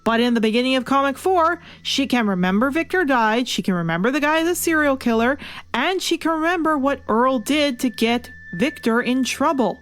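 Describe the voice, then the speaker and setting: A woman's voice: high-pitched, animated, moderate pace, a bit bright. A woman delivering a scripted, opinionated review as the host, leaning into her points with emphasis. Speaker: comic book reviewer and show host. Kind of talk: scripted video review narration. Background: music under the voice.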